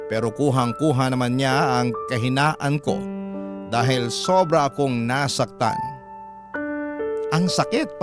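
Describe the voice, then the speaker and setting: A man reading a first-person love letter as a radio storyteller, with emotive, deliberate phrasing. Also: mid-range voice. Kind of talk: dramatic scripted narration.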